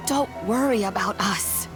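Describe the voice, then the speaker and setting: A woman voicing a calm, wise old granny in a video game, measured and gently advising. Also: medium pitch, natural voice. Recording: music under the voice. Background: game music.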